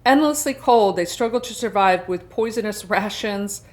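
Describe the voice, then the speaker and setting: Expressive, chatty woman vlogger. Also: medium pitch, normal speed. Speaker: book vlog host. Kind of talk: casual personal vlog chat, some reading aloud.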